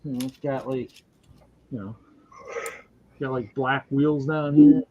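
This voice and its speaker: A man's voice: medium pitch, steady tone, slow pace, noisy background. A man in his fifties, chatting casually on a stream panel in a rambling, joking way. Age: 50s. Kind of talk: casual chat. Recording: dull lo-fi audio.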